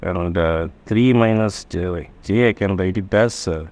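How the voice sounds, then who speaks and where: A man giving a step-by-step tutorial as an engineering lecturer, halting as he works through math and checking that listeners follow. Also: deep voice, darkish tone.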